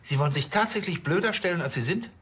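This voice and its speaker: An actor playing a vain, self-important police chief, pompous and wordy, in a TV crime comedy. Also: male voice, a little high, animated, a bit bright, slight background noise. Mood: indignant. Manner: pompous, wordy, halting officialese.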